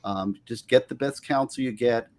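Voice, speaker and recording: A man's voice: medium pitch, moderate pace, darkish tone. A man appearing as a lawyer guest on a casual video-call livestream, talking in a folksy, rambling way and giving earnest advice. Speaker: trial and appellate lawyer guest.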